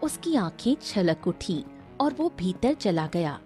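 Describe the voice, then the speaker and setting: A woman narrates a scripted tale in storybook fashion. Her tone is mellow and she takes her time over each sentence, with light music playing behind her. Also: fairly low pitch, very animated, slow.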